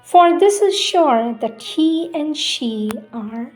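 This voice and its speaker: Woman, fairly high voice, solemn poetry recitation, extremely unhurried, deliberate pauses, soft music underneath. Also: expressive, poor audio. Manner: measured, deliberate phrasing with pauses. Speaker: reader of spiritual poetry.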